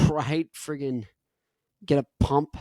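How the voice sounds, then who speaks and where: A young man hosting his own podcast in an energetic, rambling monologue full of "like". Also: medium pitch, slow, no room sound. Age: young adult. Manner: rambling, energetic, heavy use of "like". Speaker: podcast host.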